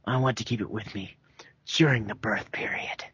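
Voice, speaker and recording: Woman putting on a low-voiced cruel young man. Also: moderate pace, fairly bright tone, slightly rough, no room sound, full character voice. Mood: cold. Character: cruel young male monster trainer. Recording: dry, muffled home recording.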